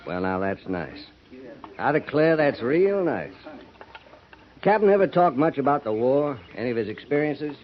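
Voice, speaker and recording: A man's voice: mid-range voice, very animated, normal speed, lightly acted. Actor as brooding, folksy American veteran cavalryman, dull sound. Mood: deferential, sly. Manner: folksy, rambling, hesitant. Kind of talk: 1950s American radio western acting. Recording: dull, noisy 1950s radio transcription.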